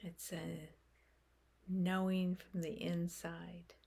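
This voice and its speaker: A woman leading a guided meditation in a gentle, unhurried manner, speaking in short phrases with long pauses. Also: fairly low pitch, flat delivery, creaky, slightly rough.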